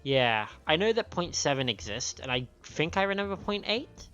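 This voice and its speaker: A man's voice: on the high side, very animated, moderate pace, bright, forceful tone, smooth. A man hosting a game livestream in casual, rambling chat. He sounds sniffly and a little hesitant. The audio is dull and lo-fi, with faint music behind him.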